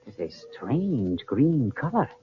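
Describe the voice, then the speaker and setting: A man playing a loyal foreign aide in an old radio adventure, speaking halting, formal English in a husky voice. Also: mid-range voice, very animated, faint music behind. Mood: wary, curious. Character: Abdallah, loyal foreign male aide to a magician. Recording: muffled old radio recording.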